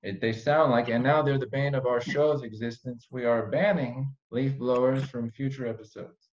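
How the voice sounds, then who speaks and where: A man's voice: medium pitch, steady tone, moderate pace, darkish tone. A man hosting a casual conversational podcast, rambling with playful, mock-serious asides. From Iran. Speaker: podcast host. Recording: dull, lo-fi podcast audio.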